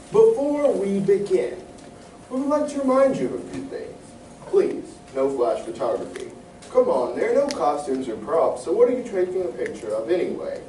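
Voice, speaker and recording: A man's voice: high-pitched, very animated, moderate pace, a little mellow, far from the mic. A young man playing a formal, long-winded radio announcer, giving a scripted comic announcement on stage. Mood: formal, grandiose. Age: young adult. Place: live stage in a community playhouse. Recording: echoey hall from afar, faint noise.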